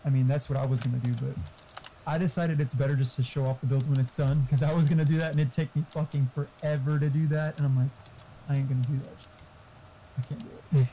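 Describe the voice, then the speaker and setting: A man's voice: mid-range voice, steady tone, quick pace, dark tone, a little hiss or hum, rough recording. A man chatting casually and giving tech advice in a blunt, explanatory, sweary way. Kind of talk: casual chat. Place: online voice chat.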